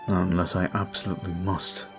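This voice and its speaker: A man voicing a brooding male vampire who is weary and guilt-ridden, talking slowly and softly in an ASMR roleplay. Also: sits low, flat delivery, creaky. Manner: slow, soft, confiding. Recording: faint music behind.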